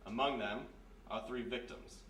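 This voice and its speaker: A man playing a gruff, authoritative police major in a live radio mystery play. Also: medium pitch, fairly even intonation, moderate pace, a bit bright, lightly acted. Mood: businesslike. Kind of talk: 1940s radio mystery acting, live on stage. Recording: echoey live room, distant mic, faint noise.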